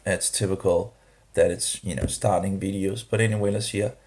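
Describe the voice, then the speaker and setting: A man talking through a rambling casual vlog, halting and full of restarts, with grumbling disapproving asides. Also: low voice, steady tone, a little mellow. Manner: hesitant, halting, many restarts and fillers. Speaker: vlogger.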